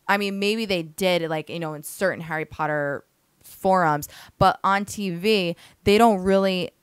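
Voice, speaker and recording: A young woman co-hosting a casual chat, teasing and quick in her back-and-forth. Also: on the low side, clear, resonant tone, no echo, studio quality. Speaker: co-host. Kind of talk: casual banter.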